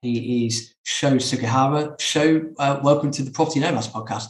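A male podcast host with a mid-pitched voice speaks casually, steering an interview in a conversational way. The recording is noticeably echoey, with the room audible around his words. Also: normal speed. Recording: echoey, roomy sound.

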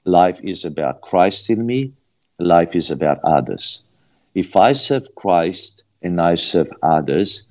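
Deep-voiced Romanian-accented man, earnest. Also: lo-fi sound. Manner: earnest, repeats phrases for emphasis. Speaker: guest. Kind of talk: preaching-style interview answer.

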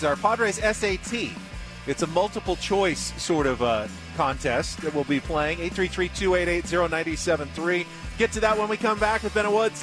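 Radio host guy, kinda high voice, casual sports show hosting, music playing under him.

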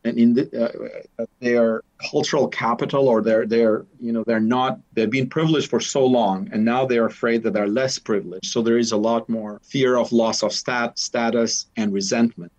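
A man's voice: medium pitch, fairly even intonation, moderate pace, few pauses, a little mellow, dull audio. A man from Albania with an Albanian accent, talking thoughtfully as an interview guest, often restarting and repeating words. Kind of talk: conversational interview.

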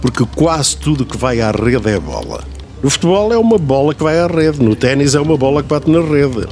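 A man's voice: mid-range voice, big pitch swings, a little fast, darkish tone. Fussy old-school Portuguese radio man, noisy recording. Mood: nitpicking. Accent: European Portuguese. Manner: pedantic, nitpicking, digressive. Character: veteran male radio personality, fussy, easily offended grumbler. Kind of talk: comedic radio drama dialogue. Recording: clearly noisy, room ambience.

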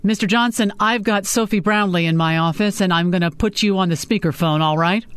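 A woman's voice: mid-range voice, brisk, smooth, no echo, pitched above the performer's own voice, lightly acted. Woman reading as a brusque, sarcastic male boss. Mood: brisk, professional. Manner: curt, commanding, dismissive. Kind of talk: audiobook character dialogue.

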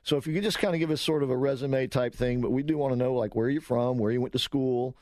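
A man's voice: mid-range voice, fast, no room sound, clean studio sound. A man hosting an interview show, asking long, meandering questions with plenty of hesitations. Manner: relaxed, rambling questions with many "uh"s. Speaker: radio show host.

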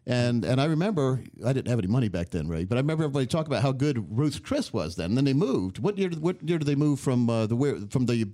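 Fast-talking lively man hosting a talk show. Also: medium pitch. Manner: folksy, chatty, repeats words. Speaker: radio show host. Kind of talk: casual chat.

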